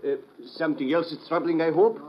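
A man's voice: a little high, fairly quick, close to an everyday voice. Man acting a chatty foreign porter, muffled old radio. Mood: wry, obliging. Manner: broken English with dropped articles. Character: obliging, chatty foreign airport porter, fond of movies.

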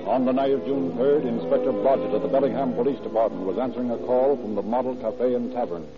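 A man's voice: medium pitch, fast talker. A man giving scripted narration for an old-time radio crime drama. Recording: muffled old radio recording. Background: loud music.

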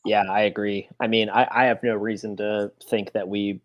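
A man's voice: on the low side, flat delivery, moderate pace, dry recording, dull audio. A man co-hosting a soccer fan podcast, giving his views in a casual chat.

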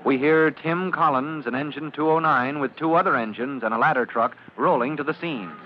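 Male vintage radio serial narrator, declamatory, slightly high voice, heavy noise behind. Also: moderate pace. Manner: dramatic, declamatory. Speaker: announcer and narrator. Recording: muffled, noisy old radio recording.

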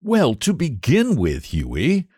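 A man voicing a jovial gentleman who teases his friend in a hearty, amused way in a storybook reading. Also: mid-range voice, wildly swooping pitch, takes it slowly, husky, no room sound, studio quality, voice pushed up, heavily acted. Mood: teasing. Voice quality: hearty, teasing. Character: jovial painter friend, teasing young man.

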